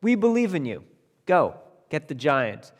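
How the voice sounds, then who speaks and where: An overbearing, insistent king, as a male storyteller puts him on, barreling on in a high, loud voice. He will not let anyone finish. The room carries a long echo. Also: sing-song, a little slow, exaggerated voice. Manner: insistent, talks over others.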